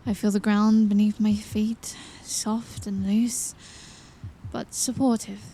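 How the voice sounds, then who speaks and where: A woman acting in an audio drama as an earnest young princess in training, speaking with hesitant wonder and deliberate phrasing. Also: mid-range voice, flat delivery, warm tone, slight background noise. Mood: wondering, focused. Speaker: voice actor.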